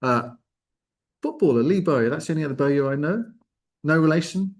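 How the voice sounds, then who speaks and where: A man's voice: a little high, lively intonation, brisk. A man hosting an informal online arts show, speaking enthusiastically with rambling, chatty asides. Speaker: host.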